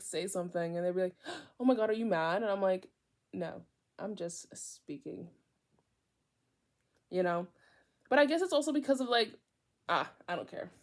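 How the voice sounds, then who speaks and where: A young woman from Virginia reading a book aloud in a casual way, often drifting into chatty side remarks, with a high and often loud voice. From Virginia, USA. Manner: chatty, digressive, mixes reading with asides. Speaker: book reader. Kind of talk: casual read-aloud with tangents.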